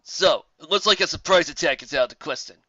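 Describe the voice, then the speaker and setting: A practical griffin officer in a fantasy story, voiced by a man. The tone is bright and polite, with formal military phrasing and a dry sound. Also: on the high side, fast talker, no echo, muffled recording. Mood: businesslike. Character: brisk male griffin officer, practical and questioning.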